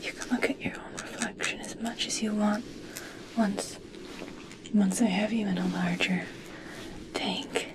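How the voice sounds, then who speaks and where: A woman playing a gentle, obsessed scientist fascinated by merpeople in a whispered ASMR roleplay, speaking softly, slowly and soothingly. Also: mid-range voice, steady tone, natural voice, poor audio. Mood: soothing, attentive. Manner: soft, soothing, slow, coaxing.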